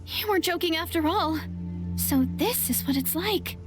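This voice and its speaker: A high, youthful female game character. The young traveler speaks earnestly and politely. Music plays behind the voice. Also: animated, moderate pace. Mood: earnest, puzzled. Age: young adult. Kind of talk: video game voice acting.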